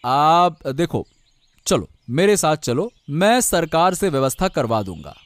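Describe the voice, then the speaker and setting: A man's voice: fairly high pitch, sing-song, measured pace, clear, resonant tone, dry recording. A man voicing a kind, anxious, protective family friend in a cartoon story, with a stammering, soothing manner. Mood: consoling. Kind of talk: Hindi cartoon story voice acting.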